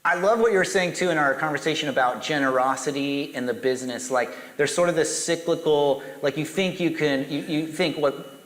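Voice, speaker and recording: A man with a somewhat high voice interviews a guest with eager, prompting questions. His voice rings out in a large room, with a long reverb behind each phrase. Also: brisk, a bit bright. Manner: enthusiastic, prompting, laughs along. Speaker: interviewer, church host. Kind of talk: casual interview. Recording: echoey hall with long reverb.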